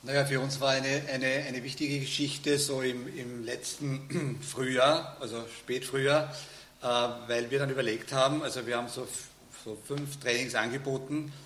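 A man's voice: medium pitch, fairly even intonation, moderate pace, fairly bright tone. A man, explaining at length in a loose, rambling way with many fillers. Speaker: organizer, guest. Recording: echoey room, faint noise.

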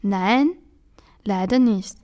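A woman's voice: mid-range voice, very slow, warm tone. A young woman as a guest on a school radio interview, giving short plain answers.

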